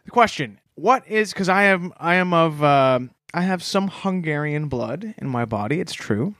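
Podcast co-host, male, slightly high voice, deadpan mock-earnest rambler, dry close mic. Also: normal speed, no echo. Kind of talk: deadpan comedic podcast banter.

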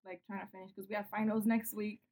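A woman's voice: mid-range voice, flat delivery, quick pace, a loud crowd. A 21-year-old woman chatting casually as a podcast guest, giggling often and filling her talk with "like". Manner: giggly, chatty, lots of "like" fillers. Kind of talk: casual chat.

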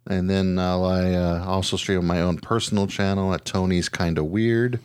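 A man's voice: low voice, steady tone, moderate pace, darkish tone, clear, resonant tone, no echo, studio quality. A man hosting a podcast in casual chat, talking informally with some mild swearing and self-deprecation. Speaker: podcast host.

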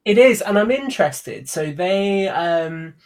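Male guest, somewhat higher register, informal enthusiast explaining things conversationally. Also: lively intonation, slow pace. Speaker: podcast guest. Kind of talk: casual chat, explaining game news.

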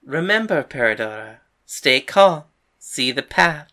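Woman voicing a cocky, low-voiced young female fighter. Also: unhurried, fairly bright tone. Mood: taunting.

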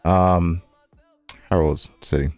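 A man's voice: sits low, steady tone, measured pace, a little mellow, no echo, soft background music. An American man co-hosting a podcast in casual, unscripted banter, with a laid-back, conversational way of repeating himself. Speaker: podcast co-host.

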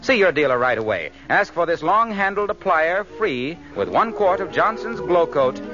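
A male American announcer from 1940s radio gives a polished sponsor pitch. His voice is fairly high and swings with animated emphasis. The vintage recording is muffled. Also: normal speed, music in the background.

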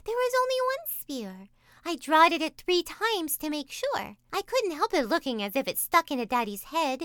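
A woman voicing a small, cheeky cave girl with a high, breathless childlike way of talking. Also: sing-song, moderate pace, fairly bright tone, no echo, clean studio sound. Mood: earnest.

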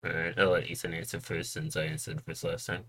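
A man's voice: low voice, flat delivery, fairly quick, fairly bright tone. A nineteen-year-old man in a casual chat with friends, making brief, dry remarks. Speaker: guest friend.

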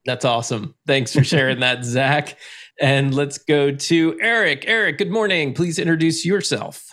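A man moderating a livestreamed podcast panel, friendly and brisk as he hands over to guests. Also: fairly high pitch, a bit bright. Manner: friendly, brisk, laughs easily.

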